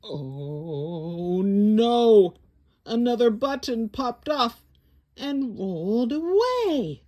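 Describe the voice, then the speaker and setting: A woman hosting a children's story time, reading a picture book aloud in a theatrical, deliberate way with exclamations. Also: a little high, big pitch swings, dark tone. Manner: slow, deliberate phrasing for young children. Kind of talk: animated read-aloud picture book storytelling with sung refrains.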